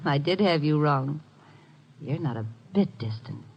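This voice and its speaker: A woman's voice: deep voice, lively intonation. An actress playing a coy, gushing young woman writer in 1950s radio drama style. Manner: coquettish, gushing, hesitant pauses. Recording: dull vintage radio recording.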